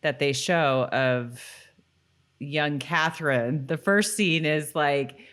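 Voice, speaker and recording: A woman's voice: sits low, takes it slowly, fairly bright tone. A woman co-hosting a podcast in an informal, chatty style, reacting readily to her partner. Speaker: podcast co-host. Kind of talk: casual rewatch chat with some read-out recap.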